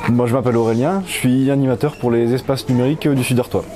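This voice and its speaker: A man's voice: medium pitch, steady tone, fast talker, loud music behind the voice. A man who works as a digital activities facilitator, explaining his job in an interview.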